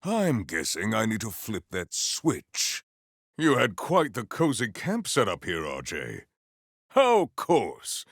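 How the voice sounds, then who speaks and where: A male actor voicing a proud, potent warrior in a video game, with formal, grandiose, deadpan delivery. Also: lowish voice, slow, no room sound, studio quality, full character voice. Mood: stoic, formal. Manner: formal, stilted, grandiose diction. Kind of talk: scripted video game character lines.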